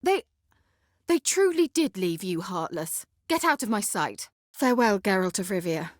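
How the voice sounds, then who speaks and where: A haughty young sorceress from a fantasy game, acted by a woman. Her speech is teasing and sardonic, with a very animated, expressive pitch. The sound is dry, with no room around it. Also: moderate pace, dry recording, natural voice. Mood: angry, scornful. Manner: haughty, teasing, biting sarcasm. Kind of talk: video game character dialogue.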